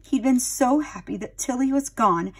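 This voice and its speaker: A woman's voice: a little high, moderate pace, slightly rough. A woman narrating a children's storybook expressively, dramatic and addressing young listeners like a teacher.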